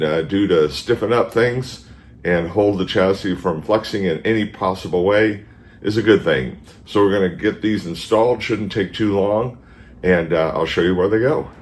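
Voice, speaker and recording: A man with a stuffed-up nose from allergies, hosting a casual how-to vlog in his garage and thinking aloud with fillers. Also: low voice. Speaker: car channel host. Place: home garage beside a car.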